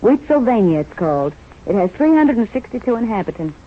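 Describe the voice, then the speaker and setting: An actress playing a cheeky, devoted young nurse trainee from North Dakota in an old-time radio drama, speaking in a frank, informal way. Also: female voice, fairly high pitch, very animated, brisk. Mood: frank, playful. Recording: muffled vintage radio broadcast recording.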